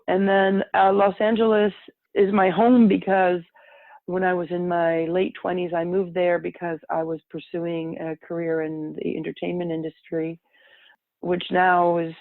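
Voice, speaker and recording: A woman's voice: lowish voice, no echo. A woman whose first language is French, a podcast guest telling her story in an earnest, rambling way with frequent restarts. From France. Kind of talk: conversational interview storytelling. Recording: dry tinny phone-like line.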